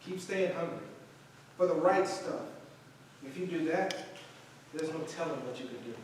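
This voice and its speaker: An actor playing an earnest acting teacher who mentors young performers in a staged play reading. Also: male voice, a little high, animated, normal speed, a little mellow, close to an everyday voice. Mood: encouraging. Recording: echoey hall, distant mic, faint noise.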